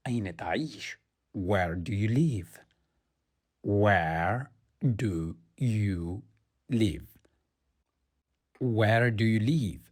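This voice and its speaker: Husky guy doing a slow repeat-after-me drill, word by word. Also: lowish voice. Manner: repeats phrases word by word, carefully spaced. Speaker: language teacher. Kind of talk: slow phrase drill for learners.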